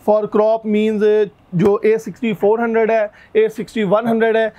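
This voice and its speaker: Hoarse high-voiced man explaining. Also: a little slow. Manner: steady explanatory, lists features one by one. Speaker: camera shop expert. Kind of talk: explaining product specs and prices. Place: indoor camera shop. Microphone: lapel mic.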